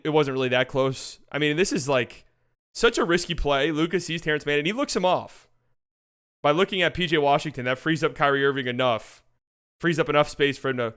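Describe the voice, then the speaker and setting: A man hosting a sports show with casual, animated, sweary fan commentary on basketball highlights. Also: clear, resonant tone.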